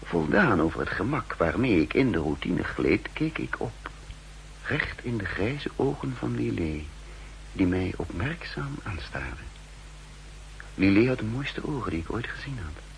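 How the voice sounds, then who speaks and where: An actor playing a self-assured spy who recounts his undercover life in measured, formal 1960s radio drama narration. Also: lowish voice, very animated, rough recording. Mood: wry, reflective. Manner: measured, formal, literary storytelling. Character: self-assured male spy posing as a counterintelligence chief, recounting his story. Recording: 1960s radio recording with hum and noise.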